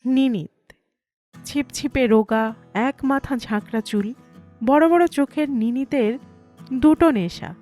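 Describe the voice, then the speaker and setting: A woman's voice: high-pitched, slow pace, long pauses, darkish tone, faint music behind. A woman reading a first-person story aloud as an audiobook narrator.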